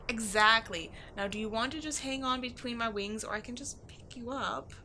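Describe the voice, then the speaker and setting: A woman voicing a giant female sphinx, a flirty, teasing and excitable temple guardian, in a playful, expressive audio roleplay. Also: fairly high pitch, normal speed, slight background noise. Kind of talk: roleplay audio acting addressed to the listener.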